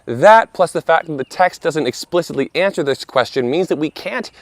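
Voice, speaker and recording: Slightly hoarse man explaining earnestly. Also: a little high, animated, normal speed, no room sound. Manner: conversational and earnest, with playful asides. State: slightly hoarse from a lingering cough. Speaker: video host, Bible commentator. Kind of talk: casual scripted commentary.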